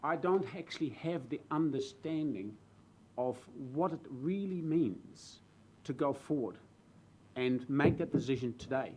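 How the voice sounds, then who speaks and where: A man from the Netherlands with a Dutch accent, a new councillor speaking earnestly in a council chamber, halting with fillers and restarts. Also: medium pitch, a little mellow, a little hiss or hum, poor audio. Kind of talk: speech in a council debate. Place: council chamber with public gallery.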